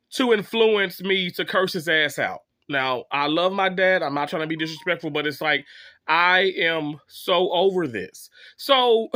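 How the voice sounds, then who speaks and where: A man's voice: a little high, bright, forceful tone, no room sound, clean studio sound. A man in his thirties, sick with a cough, telling a rambling, exasperated story to his viewers with many fillers and restarts. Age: 30s. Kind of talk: casual story time monologue.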